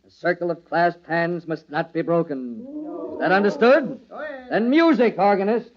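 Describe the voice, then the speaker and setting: A man's voice: high voice, expressive, moderate pace. An actor playing an anxious, bossy young man hiding guilt, theatrical and insistent in old radio horror style. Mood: solemn incantation. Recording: muffled old radio, crowd behind.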